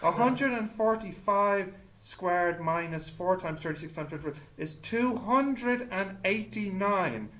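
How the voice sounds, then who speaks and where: A man's voice: high-pitched, a bit bright. A man tutoring maths informally, thinking aloud as he works sums, self-deprecating and often asking checking questions. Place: indoor tutorial room with students. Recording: echoey room with noticeable noise.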